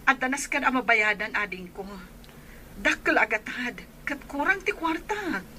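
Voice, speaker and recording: A woman's voice: high voice, expressive, moderate pace, bright, forceful tone, soft background music. A woman playing an anxious, caring eldest daughter in a radio drama. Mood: apologetic, subdued. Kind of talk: Ilocano radio drama acting.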